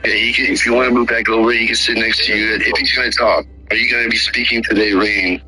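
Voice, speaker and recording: Emphatic host with a bright voice. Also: male voice, fairly low pitch, flat delivery, fast talker, faint music behind, poor audio. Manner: insistent and emphatic. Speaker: show host. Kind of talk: casual livestream chat.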